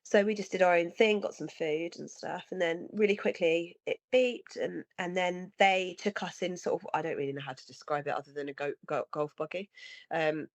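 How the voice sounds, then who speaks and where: A woman's voice: on the low side, moderate pace, dry recording. Sniffly woman with a cold. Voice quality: slightly nasal and husky. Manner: earnest, rambling with restarts. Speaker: podcast co-host. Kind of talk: casual conversation. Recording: dry, slightly dull lo-fi sound.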